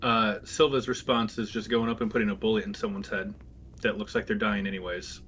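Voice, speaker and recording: A man playing a tabletop roleplaying game, talking briskly and decisively with a joking edge. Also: on the low side, little pitch movement, fairly bright tone, a little hiss or hum. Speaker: player.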